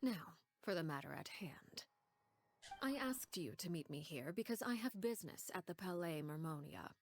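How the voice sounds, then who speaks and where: Calm, low-voiced, poised noblewoman, formal, menacing, reverberant game audio. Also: a little hiss or hum. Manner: poised, measured, formally polite. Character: cool, composed noblewoman leader, menacing. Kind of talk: game cutscene voice acting.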